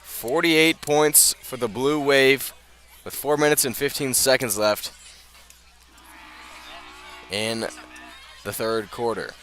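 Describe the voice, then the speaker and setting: An American man calling live high school football on the radio, measured between plays and emphatic on the big ones. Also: a bit bright, soft background music. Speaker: play-by-play announcer.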